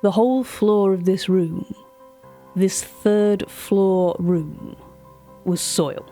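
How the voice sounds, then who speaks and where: A woman playing a polite, curious auction curator, narrating scripted audio fiction in a measured, literary way. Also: medium pitch, fairly even intonation, dark tone, soft background music. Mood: hushed wonder. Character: curious, polite female auction catalogue curator telling eerie tales. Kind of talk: scripted first-person narration.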